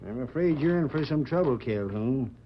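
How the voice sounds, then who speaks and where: Man acting sly western swindler, folksy wheedling drawl, lively pitch, muffled old radio drama sound. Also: moderate pace, close to an everyday voice. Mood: scheming. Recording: dull noisy vintage radio recording.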